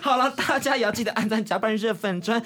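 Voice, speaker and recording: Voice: medium pitch, quick pace, a bit bright. A podcast host with a Taiwanese Mandarin accent, chatting casually and jokily with dramatic exclamations. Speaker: podcast and radio show host. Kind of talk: casual chat.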